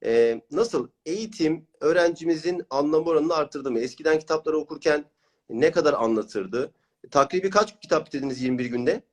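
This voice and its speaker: A man's voice: a little high, lively intonation, fairly quick. A man working as a reading coach and host, interviewing clients and explaining his course in an encouraging manner. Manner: encouraging, praising.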